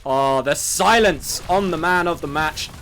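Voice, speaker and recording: A man's voice: a little high, measured pace, a bit bright. An Irish man casting a live esports match in an upbeat way, laughing often. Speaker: esports caster. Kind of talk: live play-by-play commentary.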